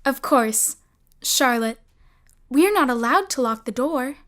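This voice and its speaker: Young girl, older sister: high-pitched, watchful, outwardly polite, quietly bossy, melodrama style. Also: expressive, takes it slowly, full character voice. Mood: falsely obedient. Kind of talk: melodramatic audio drama acting.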